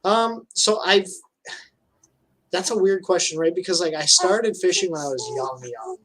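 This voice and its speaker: A man's voice: sits high, normal speed, crowd noise, rough recording. A thirty-year-old man chatting casually as a guest on a live video call from home, laid-back and colloquial. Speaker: guest angler. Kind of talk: casual chat.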